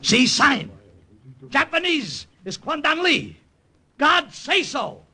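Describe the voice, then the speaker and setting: An actor playing a brash, wisecracking American agent and magician in an old radio drama, with showy theatrical patter. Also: male voice, very high voice, sing-song, slow pace, sharp tone, exaggerated voice. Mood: urgent proclaiming. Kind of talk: 1950s radio drama acting. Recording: old radio recording with faint music.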